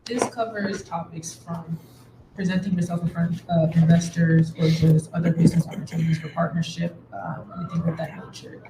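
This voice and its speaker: A woman moderating a panel, asking a long question in a measured way. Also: fairly low pitch, flat delivery. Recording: echoey room with background noise.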